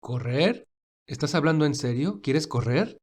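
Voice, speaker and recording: A man playing a kind, busy, wealthy gentleman who speaks warmly and reassuringly in an audio fan drama. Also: a little high, lively intonation, a little slow, slightly rough. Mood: teasing. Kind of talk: acted audio fan fiction dialogue.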